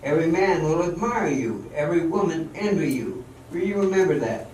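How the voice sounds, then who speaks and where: A man's voice: fairly high pitch, animated, a little fast, a little mellow, slight background noise, natural voice. A man playing an exacting, cocksure professional dancer in a theatrical amateur radio drama, with a commanding, instructive manner. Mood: confident, persuasive. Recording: echoey hall, distant mic, music behind.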